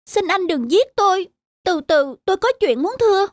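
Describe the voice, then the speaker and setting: A woman's voice: very high-pitched, animated, normal speed, dry recording, clean studio sound. A woman voicing a small, timid male mouse in a children's cartoon, pleading and wheedling deferentially.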